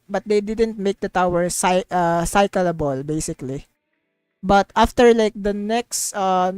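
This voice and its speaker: A woman's voice: medium pitch, a little mellow, dry recording. A woman livestreaming a game, chatting casually in a rambling, repetitive, informal way.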